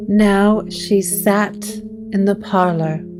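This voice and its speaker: A woman reading scripted gothic story narration in a measured, deliberate way. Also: mid-range voice, fairly even intonation. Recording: clear music bed under the voice.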